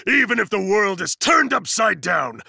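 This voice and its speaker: An actor playing a huge, stern, fiercely loyal student enforcer in an anime fighting game, bellowing grandiose declarations. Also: male voice, sits high, very animated, moderate pace, vocal fry, bright, forceful tone, husky, dry recording, clean studio sound, exaggerated voice. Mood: furious, bellowing.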